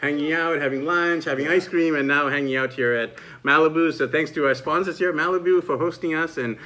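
Bright-voiced upbeat man hosting an interview. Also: a little high, fairly quick. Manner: enthusiastic, chatty. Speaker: show host, interviewer. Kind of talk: upbeat online show interview.

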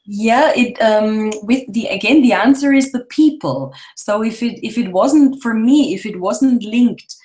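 A woman's voice: on the high side, a little slow, hardly any pauses, a little mellow. Enthusiastic woman on a call. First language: German. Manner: enthusiastic, talkative, laughs often. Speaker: travel blogger guest. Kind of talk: casual interview.

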